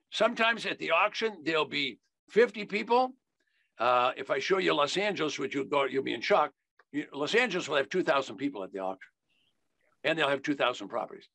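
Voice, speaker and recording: An older American man with a fairly high, creaky voice and a sharp edge. He teaches like a folksy salesman, hammering key phrases. The sound is dry and close, from a video call. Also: expressive, a little fast, dry recording. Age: older, 70s. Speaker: investing educator. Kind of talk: instructional sales talk.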